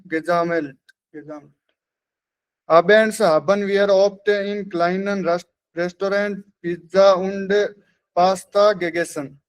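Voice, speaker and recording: A man's voice: high-pitched, clear, resonant tone. A man, a language student, carefully giving a prepared class presentation and stumbling on longer words.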